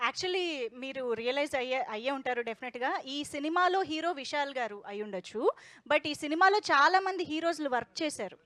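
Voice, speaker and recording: A woman's voice: sits high, animated, brisk, fairly bright tone, dry recording. A woman hosting a film promotion, interviewing guests in a conversational style.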